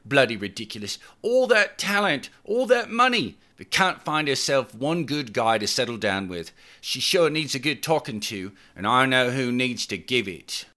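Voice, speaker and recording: A man voicing an excitable, bossy young woman who scolds and coaxes her boyfriend in an audiobook. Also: medium pitch, normal speed, hardly any pauses, voice pushed up. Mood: exasperated. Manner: exclaiming, nagging, slangy.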